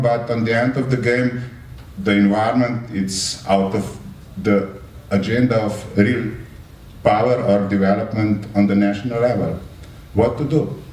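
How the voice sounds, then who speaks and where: A man speaking in an unscripted public address, halting and often hedging. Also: fairly low pitch, darkish tone, far from the mic. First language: not English. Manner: halting, hedging with frequent 'let's say'. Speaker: panel speaker. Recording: echoey room from afar, faint noise.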